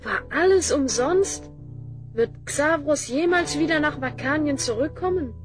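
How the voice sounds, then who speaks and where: A woman's voice: high-pitched, animated, measured pace, over music. A woman playing a brave young child hero, earnest and questioning, in a fantasy audio drama. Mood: worried.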